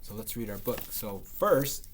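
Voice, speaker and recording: A man reading a storybook aloud to young children as their teacher, expressively stretching words and pausing to explain. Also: fairly low pitch, animated, a little hiss or hum.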